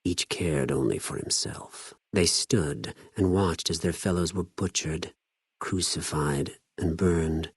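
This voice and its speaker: An actor playing a cold, zealous, contemptuous legion spy in a video game, speaking formally with measured condescension. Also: male voice, sits low, breathy edge, no echo, clean studio sound, heavily acted. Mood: detached cruelty. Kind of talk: scripted video game character dialogue.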